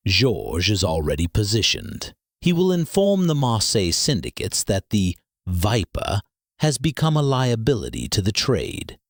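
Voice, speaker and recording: An actor playing a pompous, imperious master detective in a mystery audio drama, with formal, ornate, deliberate diction. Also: male voice, lowish voice, wildly swooping pitch, no room sound, clean studio sound, full character voice. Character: pompous, imperious male master detective, coolly precise and lecturing.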